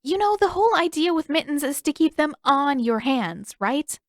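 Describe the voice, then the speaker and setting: Woman playing sharp-tongued teen girl, raised pitch, bossy, sarcastic, firm, storybook character, dry sound. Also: sing-song, normal speed, no echo.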